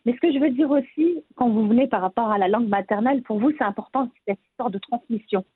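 A woman's voice: on the high side, very fast, slightly rough, no room sound. A woman from France of Moroccan Berber family, an author answering interview questions thoughtfully, with frequent hesitations. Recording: tinny phone-like remote line.

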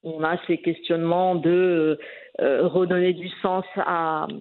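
A woman's voice: fairly low pitch, flat delivery, slow. A woman in her forties giving an interview, talking in a hesitant way with many fillers and restarts. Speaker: guest. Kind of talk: conversational interview. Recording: phone-like narrow band.

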